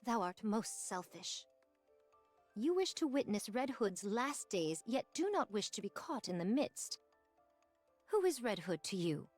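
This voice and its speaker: A woman's voice: medium pitch, big pitch swings, unhurried, darkish tone, no echo, soft background music. A woman voicing a stern woman warrior in a game, with formal, archaic and reproachful speech. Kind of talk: scripted game voice acting.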